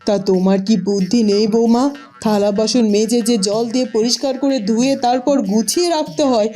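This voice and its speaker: Woman as a dark-toned, fussy mother-in-law. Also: fairly high pitch, fairly even intonation, a little fast, lightly acted. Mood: exasperated. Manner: scolding, exclamatory, fussing. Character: bossy, fussy middle-aged village mother-in-law. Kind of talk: Bengali cartoon dubbing. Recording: music behind the voice.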